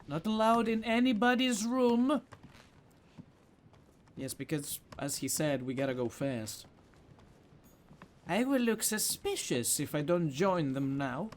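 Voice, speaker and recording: A man's voice: high-pitched, very animated, takes it slowly, pauses often, slight background noise. A man doing casual game commentary, playful, with muttered asides and exclamations. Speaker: gaming video host.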